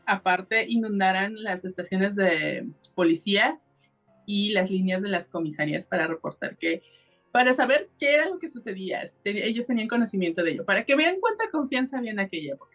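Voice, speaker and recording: A woman's voice: medium pitch, expressive, fairly quick, fairly bright tone, soft background music. A woman with a Mexican accent hosting a podcast, telling a story in a casual, colloquial and explanatory way. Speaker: podcast host.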